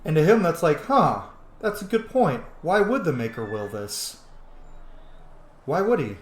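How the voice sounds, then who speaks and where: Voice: medium pitch, big pitch swings, unhurried, reverberant. A game streamer giving casual roleplay commentary in a candid way, with some swearing. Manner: candid, profane. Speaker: gaming video host.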